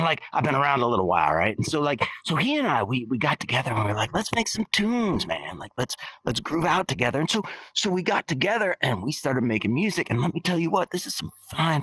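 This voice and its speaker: A man's voice: mid-range voice, pushed and bright, slightly rough, no room sound, heavily acted. A man playing a laid-back, centuries-old skeleton rocker who talks like a drawling slacker and says "man" constantly. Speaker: bassist and singer in the guest band. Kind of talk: in-character storytelling. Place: virtual-world podcast, dry sound.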